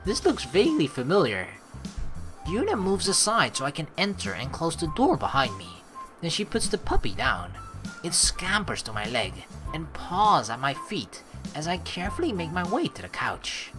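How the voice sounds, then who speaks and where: A woman hosting a let's play, mixing casual, rambling commentary with reading the game's text aloud, expressive and quick to swear. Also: lowish voice, over music. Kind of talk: casual game commentary with read-aloud narration.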